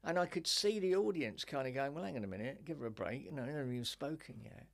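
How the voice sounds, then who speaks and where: An older woman with a deep voice, a stand-up comedian telling wry anecdotes in a relaxed interview chat. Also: fast. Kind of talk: casual interview chat, storytelling.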